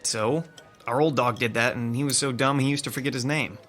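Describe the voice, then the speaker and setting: A man's voice: mid-range voice, steady tone, a little fast, a bit bright, soft background music, natural voice. A man playing dry, sarcastic theater colleague. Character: Alan, wry sarcastic male theater colleague. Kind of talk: radio drama acting.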